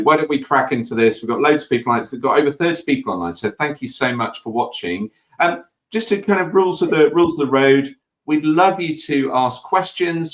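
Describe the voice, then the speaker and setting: A man, hosting an online session in a relaxed, chatty way. He rambles a little with plenty of uhs and easy laughter, at an everyday pitch. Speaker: webinar host. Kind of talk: friendly webinar hosting.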